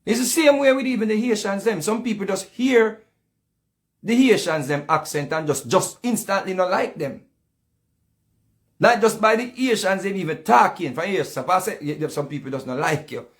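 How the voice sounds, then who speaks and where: A man's voice: high voice, animated, normal speed. A man with a Jamaican accent giving casual, heated commentary in patois, often repeating himself. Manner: heated, repetitive, speaks in patois.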